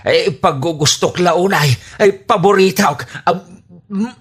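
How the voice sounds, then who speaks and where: An actor playing a doddering, smitten older man in an Ilocano comedy radio drama, stammering and hesitant with drawn-out groans. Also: high voice, slightly rough. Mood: eager, smitten.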